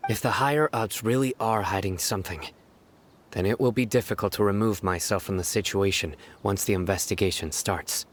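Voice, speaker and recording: A man's voice: fairly low pitch, fairly quick, slight background noise, close to an everyday voice. A man playing a stern, guarded young officer of justice in a video game, speaking in a serious, controlled, terse manner. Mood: stern, resolute. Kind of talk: scripted video game cutscene acting. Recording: faint music behind the voice.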